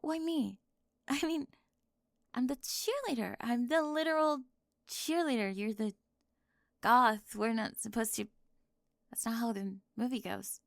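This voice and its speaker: A woman voicing a flustered, teasing high school cheerleader in an ASMR roleplay, halting and giggly, often dropping into breathy murmurs. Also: no echo, clean studio sound. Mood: nervous, insistent. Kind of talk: ASMR roleplay audio drama.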